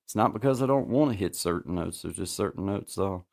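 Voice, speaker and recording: Gravelly low-voiced man chatting casually. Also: animated, brisk, a little mellow. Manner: rambling, repeats himself, many fillers. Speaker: livestream host. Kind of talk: casual livestream chat.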